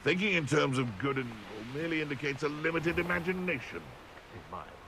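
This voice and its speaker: A man's voice: on the high side, very animated, fast, pushed and bright, slight background noise, full character voice. An actor playing a haughty, opinionated man in a fantasy video game, speaking dismissively. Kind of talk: video game character dialogue.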